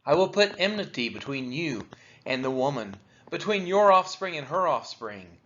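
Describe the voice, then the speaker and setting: Man, scripture read-aloud, fairly high voice, deliberate short phrases, slightly muffled lo-fi sound. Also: sing-song, a bit bright. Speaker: Bible reader for a church's daily read-along.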